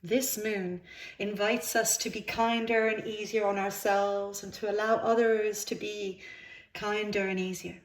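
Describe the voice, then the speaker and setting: A woman's voice: medium pitch, flat delivery. Woman guiding meditation in an echoey room. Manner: soothing, unhurried phrasing with pauses. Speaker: yoga nidra instructor. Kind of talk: guided relaxation meditation. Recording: roomy, echoing sound.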